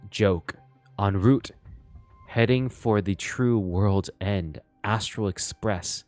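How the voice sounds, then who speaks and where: A man giving excitable live reaction commentary as a streamer, exclaiming and thinking out loud. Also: fairly low pitch, no room sound. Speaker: streamer reacting to a game story. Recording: game music behind. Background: game soundtrack and dialogue.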